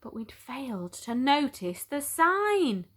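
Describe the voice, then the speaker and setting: A woman's voice: high voice, very animated, slow pace. A woman storyteller reading a children's picture book aloud, expressively, with dramatic emphasis on key words.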